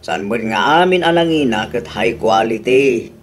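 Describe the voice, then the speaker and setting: A man's voice: mid-range voice, animated, measured pace, slight background noise, natural voice. A man voicing a thrifty husband in a radio drama, chuckling and defensive about his bargains.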